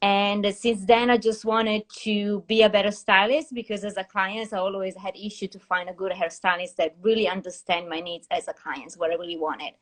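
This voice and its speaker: A woman's voice: medium pitch, steady tone, moderate pace, hardly any pauses. A woman from Tuscany with an Italian accent, a hairstylist guest telling her story in a casual chat over a live video call, with non-native grammar and many restarts. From Italy (Tuscany). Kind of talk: casual live-stream interview. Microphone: phone in a live video call. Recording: compressed live-stream audio.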